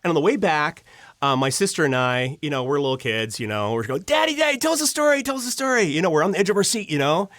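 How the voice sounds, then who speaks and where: A man from Pennsylvania speaking as an interview guest, telling personal stories casually in a rambling, expressive way. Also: fairly high pitch, fast talker, no echo, clean studio sound. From Pennsylvania, United States. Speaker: podcast guest, storyteller. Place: studio.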